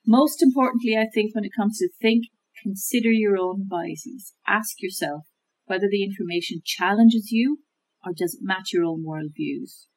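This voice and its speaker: A woman talking through how to check information, in a measured, thoughtful way with many fillers and restarts. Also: mid-range voice, a little hiss or hum, poor audio. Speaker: guest expert on media literacy. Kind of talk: conversational explanation.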